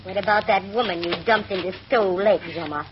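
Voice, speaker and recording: An actress playing a sharp-witted, wry female private investigator in a 1940s radio drama. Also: medium pitch, fairly quick, close to an everyday voice. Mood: probing. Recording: muffled, hissy vintage radio recording.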